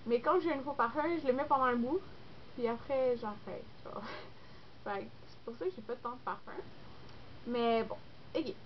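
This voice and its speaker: A young woman with a Québécois French accent, congested with a cold, chatting casually and rambling with lots of fillers in a vlog. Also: on the high side. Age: young adult. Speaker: beauty vlogger. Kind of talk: casual chat to camera. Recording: dull lo-fi recording with faint noise.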